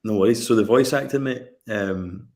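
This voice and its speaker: A man's voice: on the low side, normal speed, a little mellow. Chummy man hosting a chat. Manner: chummy, calls guest mate. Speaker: YouTube show host. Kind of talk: casual chat.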